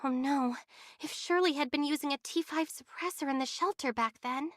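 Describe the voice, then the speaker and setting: An earnest young heroine from an anime adventure game, acted by a woman. Her voice is notably high and she speaks directly and inquisitively. The sound is dry and studio-close. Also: moderate pace, no echo, natural voice. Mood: troubled realization. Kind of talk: scripted anime game voice acting.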